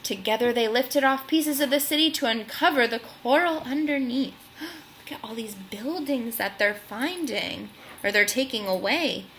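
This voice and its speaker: A woman's voice: on the high side, animated, measured pace, fairly bright tone, a little hiss or hum. A woman reading a storybook aloud expressively for young children, engaging the listeners as a host. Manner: gasps and questions addressed to young listeners.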